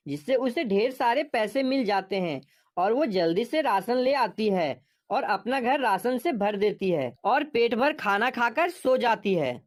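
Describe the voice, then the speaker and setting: A woman narrating a children's cartoon story in an even, scripted storytelling tone. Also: a little high.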